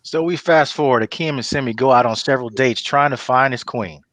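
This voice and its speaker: A man's voice: mid-range voice, fairly quick, a bit bright, no room sound. A man reading stage directions as narrator at a script table read.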